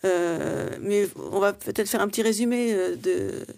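A radio host asking the guest short, halting follow-up questions. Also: mid-range voice, creaky, a crowd in the background. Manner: brief prompting questions, halting. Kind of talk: radio interview.

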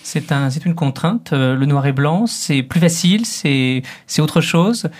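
A man's voice: on the high side, measured pace. A man hosting an interview, asking courteous but hesitant questions with many fillers. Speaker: interviewer host.

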